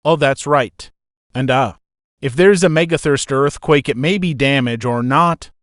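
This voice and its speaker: A man's voice: medium pitch, measured pace, a bit bright, no echo, studio quality. A man presenting mock breaking news as anchor and interviewer, formal and polite with non-native phrasing.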